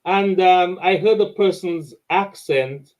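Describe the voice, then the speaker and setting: A man's voice: high voice, slow pace, a little mellow, low-quality recording. A Dominican man hosting an interview and eagerly telling a story from his week. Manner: eager storyteller, repeats words. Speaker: interview host. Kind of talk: animated anecdote in conversation.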